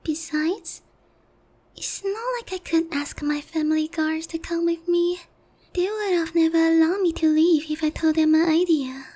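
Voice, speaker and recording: A woman's voice: very high voice, steady tone, normal speed, warm tone. A woman voicing a naive, sheltered young harpy in an ASMR roleplay, speaking politely and hesitantly to one listener. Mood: wistful, confiding. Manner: timid, hesitant, polite, airheaded.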